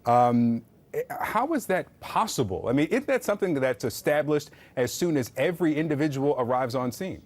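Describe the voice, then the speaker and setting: A man's voice: fairly high pitch, big pitch swings, moderate pace. A man anchoring a news segment, introducing the story and questioning a guest.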